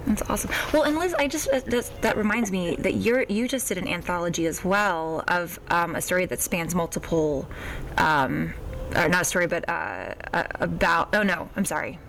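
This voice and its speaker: A woman's voice: fairly low pitch, normal speed, a bit bright, slight background noise. A woman hosting a podcast interview, asking questions in a rambling way and correcting herself. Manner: rambling, apologetic self-corrections. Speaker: podcast host. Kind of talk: casual interview chat.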